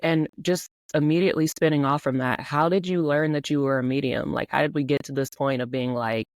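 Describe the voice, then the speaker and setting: A woman hosting a podcast interview, encouraging her guest with many quick affirmations. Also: very deep voice, no room sound. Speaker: podcast host.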